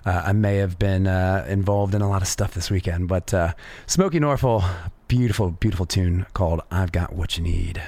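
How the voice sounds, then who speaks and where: A man with a raspy voice hosting a live radio music show, talking in an easygoing way with plenty of fillers. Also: sits low, moderate pace, few pauses, warm tone. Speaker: radio DJ host. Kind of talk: casual live radio presenting.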